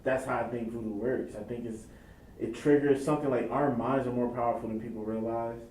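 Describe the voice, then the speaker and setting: A man's voice: fairly even intonation, fairly quick, darkish tone. A young man giving reflective, casual interview answers in loose, run-on thoughts. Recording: echoey room, mic at a distance, faint rumble.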